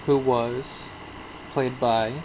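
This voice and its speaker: A man's voice: medium pitch, flat delivery, very slow, noisy background. Laid-back man listing film credits. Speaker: podcast co-host. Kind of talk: casual movie review chat.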